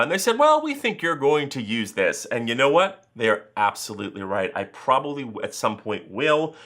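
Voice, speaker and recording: A man with a very deep voice reviewing gear in a casual vlog, talking in a relaxed way with many uhs. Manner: relaxed, filler-heavy talk with many uhs.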